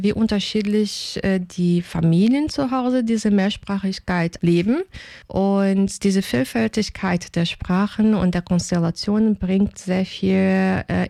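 Portuguese-accented woman with a warm, mellow voice. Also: medium pitch, creaky, no room sound. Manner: earnest, explanatory, with small slips in German grammar. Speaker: linguist, interview guest. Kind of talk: radio interview answers.

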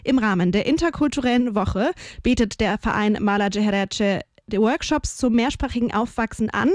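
A woman hosting a radio news magazine, reading a scripted introduction in a smooth, conversational way. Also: on the high side, moderate pace, darkish tone, dry recording, clean studio sound.

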